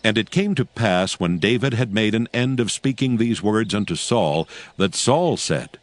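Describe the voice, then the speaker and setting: A man's voice: fairly low pitch, expressive, normal speed, no echo, soft background music. A man narrating dramatized scripture as a solemn storyteller.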